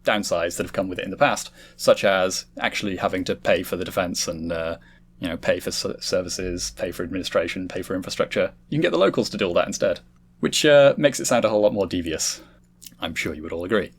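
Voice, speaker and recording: A man's voice: sits low, animated, fast, breathy edge. A man hosting a casual podcast discussion, talking in a wordy, explanatory way. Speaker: host leading a discussion.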